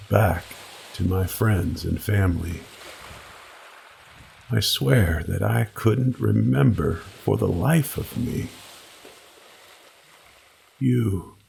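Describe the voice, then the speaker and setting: A man narrating a scripted first-person horror story with deliberate, dramatic pauses. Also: deep voice, creaky, a little mellow, slight background noise.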